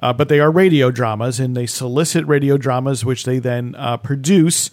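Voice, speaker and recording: A man's voice: medium pitch, moderate pace, darkish tone. A middle-aged man co-hosting a podcast, chatting casually and searching a little for his words as he goes. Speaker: podcast co-host. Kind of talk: casual conversational discussion.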